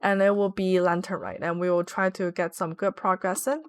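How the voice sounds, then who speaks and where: A woman's voice: fairly low pitch, flat delivery, normal speed, clean tone, no room sound. A young woman streaming from home, chatting casually and rambling with lots of "like" fillers as she plays. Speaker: Twitch streamer. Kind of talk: casual live-stream chat while gaming.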